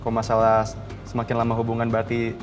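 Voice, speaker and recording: A man in his twenties, a dating show contestant, talking in a relaxed, casual way. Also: medium pitch, quick pace, big-room sound, faint music behind. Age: 20s. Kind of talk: casual chat.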